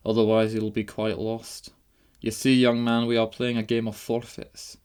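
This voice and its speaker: A man voicing a sarcastic, condescending gentleman acting as master of ceremonies, in a formal, precise audiobook delivery. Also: medium pitch, fairly quick, vocal fry. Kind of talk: audiobook character voice.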